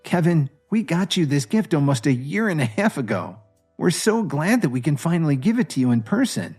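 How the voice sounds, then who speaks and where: Man voicing a gracious businesswoman, high pitch. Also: very animated, a little fast, darkish tone, husky, faint music behind. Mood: grateful. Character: gracious businesswoman, company president, warmly grateful. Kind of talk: audio drama character voice.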